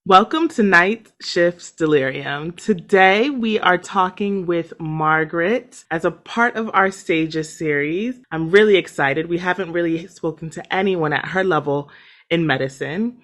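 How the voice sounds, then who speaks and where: A woman's voice: lowish voice, unhurried, a bit bright. A young woman hosting a podcast, upbeat and chatty, laughing easily. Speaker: podcast host, junior doctor.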